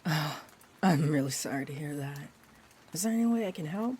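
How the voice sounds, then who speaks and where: A woman playing a sweet, rambling young-looking vampire bartender in an intimate roleplay audio, speaking gently and hesitantly with whimsical tangents. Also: low-pitched, fairly quick, husky. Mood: gentle concern. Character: sweet rambling friendly female vampire bartender, looks twenty-four. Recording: steady rain ambience behind the voice. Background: rain.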